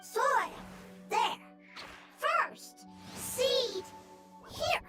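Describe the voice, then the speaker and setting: Small game creature, very high-pitched, slow broken words. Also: expressive, over loud music, full character voice. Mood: halting. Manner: halting broken phrases. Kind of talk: video game voice acting.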